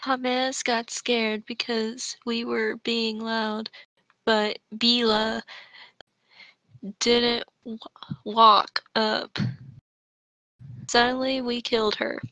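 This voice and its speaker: A woman with a slightly high, creaky voice speaks at a slow pace. She sounds casual, like a co-host reading and chatting. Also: flat delivery, pushed and bright, dry recording, low-quality recording. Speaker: co-host reading fan fiction aloud. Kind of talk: casual chat and story reading.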